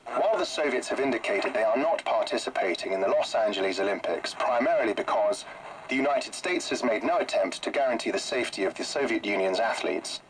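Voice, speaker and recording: An actor playing a formal news announcer who reads a bulletin in a steady newsreader delivery. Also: male voice, lowish voice, fairly quick, noisy background. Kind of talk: scripted news broadcast.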